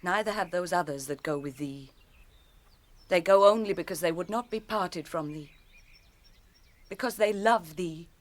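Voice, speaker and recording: An actress playing a proud, fierce young noble shieldmaiden in a radio drama, impassioned and formal. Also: female voice, on the low side, a little slow, pauses often, lightly acted. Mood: bitter, earnest. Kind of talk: classic radio drama acting.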